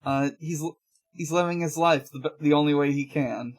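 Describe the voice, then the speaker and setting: A podcast host recapping a comic in casual, low-key talk with halting delivery.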